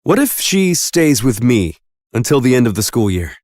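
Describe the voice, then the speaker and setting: A man voicing a kind, protective middle-aged father in an animated story, speaking earnestly and expressively. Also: mid-range voice, normal speed, no echo, studio quality, lightly acted.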